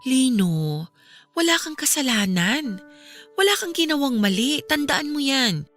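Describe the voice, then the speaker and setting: A woman's voice: high voice, sing-song, unhurried, fairly bright tone, faint music behind, heavily acted. An actress playing a caring, steadfast young Filipino woman who consoles a friend in a radio drama, earnest and reassuring. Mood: tender reassurance.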